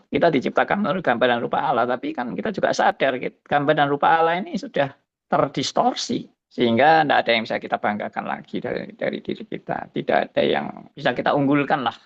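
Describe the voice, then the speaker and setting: A pastor in his seventies giving a slow, musing spoken testimony, often tagging his phrases with a soft "ya". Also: mid-range voice, gravelly, highs cut off. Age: 70s.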